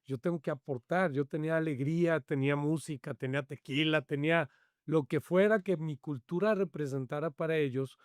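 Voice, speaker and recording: A middle-aged Mexican man with a Mexican accent, a podcast guest telling his life story in an animated, slangy way. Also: a little high, brisk, clear, resonant tone. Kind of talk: storytelling in casual interview.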